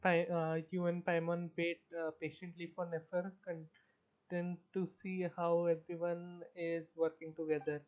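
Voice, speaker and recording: Woman streamer with a flat, muffled voice. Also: lowish voice, a little slow. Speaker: game streamer. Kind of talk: live game commentary, reading story text aloud. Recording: dull lo-fi stream microphone.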